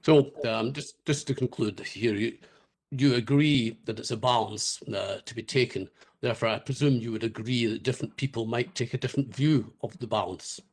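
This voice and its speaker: A man's voice: medium pitch, a little mellow. Polite man cross-examining a witness. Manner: polite, deliberate questioning.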